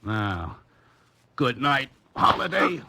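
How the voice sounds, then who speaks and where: A man's voice: medium pitch, very animated, slow pace, loud music behind the voice. An American actor playing a menacing, sarcastic gangster henchman in a 1940s radio crime drama, tough and taunting.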